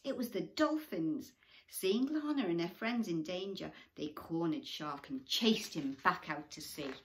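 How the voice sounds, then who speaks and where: A woman's voice: on the low side, animated, a little slow. A woman reading a children's bedtime story aloud expressively, with little asides to young listeners.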